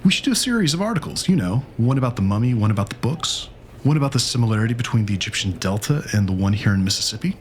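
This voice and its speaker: A man's voice: mid-range voice, sing-song, rapid-fire, slight background noise, close to an everyday voice. A man voicing an earnest history teacher who explains things in a didactic, scripted lecture for a comic dub. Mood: enthusiastic.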